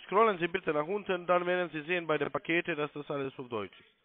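A man with a slightly high voice gives phone sales instructions as a call centre agent. He is insistent and repeats himself. The sound is a narrow, muffled phone line, dry and free of room sound. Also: fast talker, dry recording. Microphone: telephone call.

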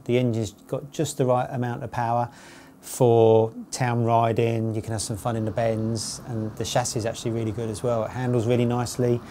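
A man's voice: medium pitch, mellow, slight background noise. A man in his forties giving an unscripted, matter-of-fact spoken review as a motorcycle road tester. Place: studio with dark backdrop, seated at table.

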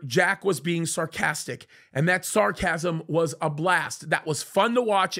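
A man's voice: high-pitched, gravelly, fairly bright tone. A man in his forties delivering indignant, emphatic commentary into a studio mic, reading quotes aloud and rebutting them. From United States. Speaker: pastor commentator. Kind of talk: fiery commentary. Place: small dark studio, leather chair. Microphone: studio mic on boom in front.

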